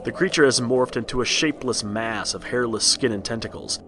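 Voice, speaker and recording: A man's voice: medium pitch, fairly quick, noisy background. A man narrating a horror tale as a scripted read-along storybook. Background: music.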